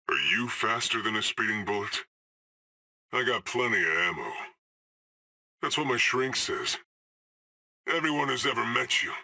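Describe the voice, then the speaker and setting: A man's voice: on the low side, bright, forceful tone, husky, heavily acted. An actor playing a cocky, cool male hired assassin in a video game, trading dry, sardonic one-liners.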